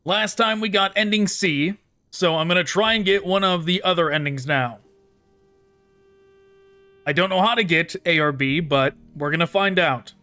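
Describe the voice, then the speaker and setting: Male let's-play host, slightly high bright voice, casual running commentary. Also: moderate pace, no echo, faint music behind. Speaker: gaming video host. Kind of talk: casual game playthrough commentary, reading dialogue choices aloud.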